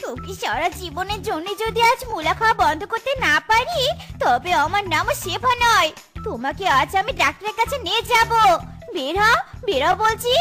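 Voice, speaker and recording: A woman voicing a bossy, scolding young wife in a comic cartoon, with a shrill, nagging delivery. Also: big pitch swings, normal speed. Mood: angry scolding. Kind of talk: exaggerated Bengali cartoon voice acting. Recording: children's cartoon music behind the voice.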